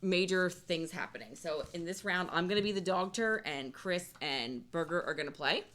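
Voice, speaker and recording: Woman with a lowish voice, casual game demonstrator, walking players through the rules. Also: expressive, moderate pace, a bit bright. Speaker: game designer teaching a card game.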